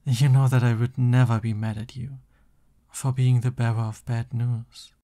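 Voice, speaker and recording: A man's voice: mid-range voice, flat delivery, moderate pace, warm tone, close to an everyday voice. A man playing a young supervillain boyfriend in an ASMR roleplay, theatrical and boastful but easily flustered and affectionate. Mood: tender, reassuring.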